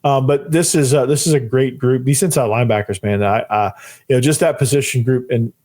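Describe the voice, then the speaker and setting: Hoarse, fired-up man hosting a podcast. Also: mid-range voice, fairly quick, darkish tone. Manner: fired-up, rambling, repeats phrases, says "man" often. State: losing his voice, hoarse. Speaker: podcast host. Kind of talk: solo sports podcast talk.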